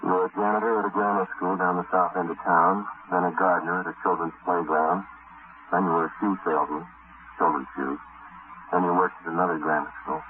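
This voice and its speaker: An actor with an American accent playing a terse, relentless police sergeant in a 1950s radio drama, deadpan and clipped. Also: male voice, sits low, fairly quick, lightly acted. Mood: cool, probing. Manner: deadpan, clipped, matter-of-fact. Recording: muffled, hissy old radio recording.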